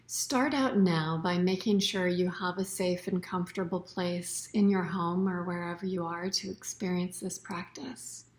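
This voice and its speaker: A woman teaching a guided yoga nidra meditation in a soothing, unhurried way, her phrases often trailing off into soft breath. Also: fairly low pitch, fairly even intonation.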